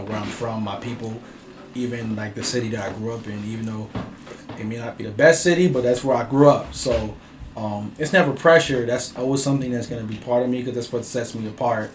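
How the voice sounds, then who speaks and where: A man from Bridgeport, Connecticut, talking as a music producer guest in a laid-back, rambling interview, full of filler phrases. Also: mid-range voice. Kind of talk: casual interview chat. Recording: roomy echo, faint ambient noise.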